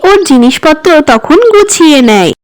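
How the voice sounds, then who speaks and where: Female narrator for children's animated fables: high-pitched voice, scripted storytelling delivery. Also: normal speed, a little hiss or hum.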